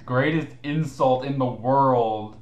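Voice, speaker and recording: A man's voice: medium pitch, slow, a little mellow, highs cut off. A man co-hosting a casual mocking commentary, sarcastic and profane in the way he talks. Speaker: co-host reading his written replies to comments. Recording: echoey room sound.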